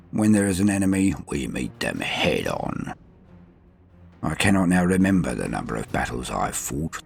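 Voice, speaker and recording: Very deep, gravelly old warrior sergeant played by a man. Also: heavily acted. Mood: proud, solemn. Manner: solemn, halting, dramatic delivery with swings between murmur and force. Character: dying veteran space-marine sergeant, stoic, proud, dutiful, centuries old. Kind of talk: scripted first-person audio drama monologue.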